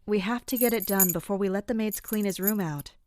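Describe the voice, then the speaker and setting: A composed woman who captains mercenaries , as voiced by an actress. She tells her story in a measured, matter-of-fact tone with a dry edge, at a middle pitch, in the style of an audio drama. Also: a little mellow, a little hiss or hum, lightly acted. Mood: grim, focused. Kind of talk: first-person narration and dialogue in an audio drama.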